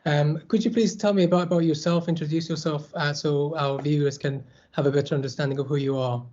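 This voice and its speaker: Polite man hosting an interview, kinda flat voice, slightly high, hesitant uhs. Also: a little fast, lo-fi sound. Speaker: interviewer, junior doctor. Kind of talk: formal interview opening.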